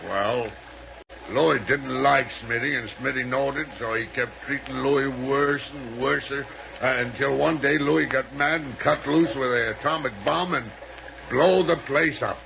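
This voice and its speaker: An American actor playing a dim, reluctant former air pirate who stammers through rough grammar in a 1930s radio serial. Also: male voice, mid-range voice, fairly even intonation, measured pace. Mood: slow-witted, unsure. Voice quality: gravelly. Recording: muffled, noisy 1930s radio recording.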